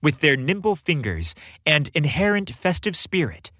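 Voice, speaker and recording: Guy reading a story podcast, really expressive pitch, dry close sound. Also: normal speed, dry recording. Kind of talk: dramatic scripted storybook narration.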